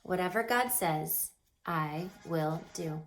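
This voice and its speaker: A woman's voice: lowish voice, slow pace, a little hiss or hum, voice pushed down. A woman voicing a humble, trusting young girl in a storybook, speaking with earnest wonder. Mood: humble, accepting.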